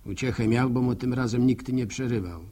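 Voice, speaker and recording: Actor as a husky, folksy village storyteller. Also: male voice, medium pitch, brisk, mellow, natural voice. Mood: musing. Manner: folksy, reflective, proverb-laden rambling. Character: folksy village man narrator, musing, neighbourly, plain-spoken. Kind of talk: radio drama monologue in rustic storytelling.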